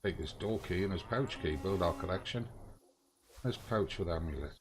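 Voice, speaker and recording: A man's voice: low voice, moderate pace, slight background noise. A man giving casual live commentary on a video game, thinking aloud in short muttered phrases. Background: video game music.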